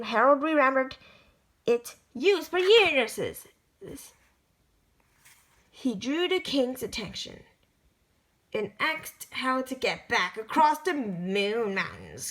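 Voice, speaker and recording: A woman reading a children's picture book aloud, storytelling with lively emphasis and the occasional stumble. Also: high-pitched, rough recording.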